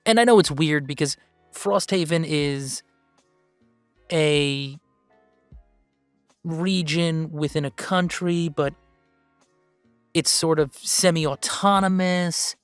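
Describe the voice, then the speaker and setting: A man playing an upbeat, earnest video game streamer who chats casually and haltingly in a livestream roleplay. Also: a little high, smooth, no echo, faint music behind. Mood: content, sincere. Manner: halting, rambling, sighing, earnest.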